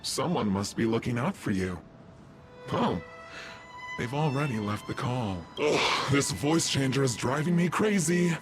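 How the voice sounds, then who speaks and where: An actor playing a smug, taunting executive speaking through a voice changer in a video game, mocking and drawling. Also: male voice, mid-range voice, expressive, unhurried, over music.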